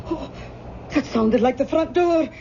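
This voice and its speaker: A woman's voice: high voice, measured pace. An actress playing a tender, pious, motherly Scottish woman with a sorrowful manner in an old-time radio drama. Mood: alarmed. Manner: gentle, sorrowful. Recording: muffled, noisy vintage radio recording.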